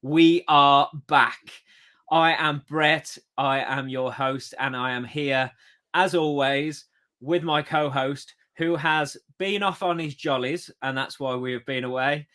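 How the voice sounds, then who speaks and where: A man's voice: fairly high pitch, slow, bright, forceful tone. A man hosting a podcast, chatting in a friendly, easygoing way. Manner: friendly, chatty, a few fillers. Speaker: podcast host. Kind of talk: casual chat.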